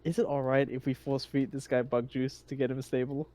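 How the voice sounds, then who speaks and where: A man's voice: medium pitch, little pitch movement, fairly quick, warm tone, slight background noise. A man talking casually as a player in a tabletop roleplaying game.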